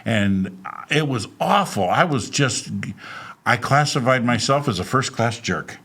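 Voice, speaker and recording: A man talking as a guest, telling personal stories in a casual, animated, self-deprecating way with laughs. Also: medium pitch, unhurried, husky. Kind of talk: casual conversational storytelling.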